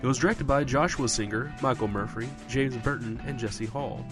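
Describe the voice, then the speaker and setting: A man's voice: mid-range voice, moderate pace. A man reading scripted show credits informally as an announcer. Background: guitar music.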